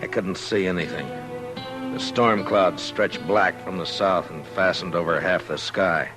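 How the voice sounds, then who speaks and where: Casting: steady frontier marshal, deep and understated, narrating a 1950s radio western over music. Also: male voice, unhurried, rough recording. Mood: grim narration. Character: steady, grave frontier marshal who narrates his own tale.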